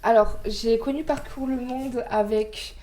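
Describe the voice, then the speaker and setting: A woman's voice: a little high, steady tone, measured pace, warm tone. Laughing, hesitant young woman guest. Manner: hesitant, many fillers, laughs easily. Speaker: guest, former volunteer. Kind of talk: casual interview chat.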